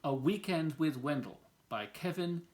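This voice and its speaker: A man's voice: on the high side, lively intonation, a little slow, a little mellow. A man reading a children's picture book aloud as a storyteller. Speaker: volunteer story reader.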